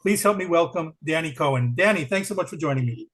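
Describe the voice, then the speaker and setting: A man's voice: on the high side, expressive, quick pace, a bit bright, husky. A man hosting a podcast interview, eager and laughing often. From Brooklyn, New York. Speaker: podcast host.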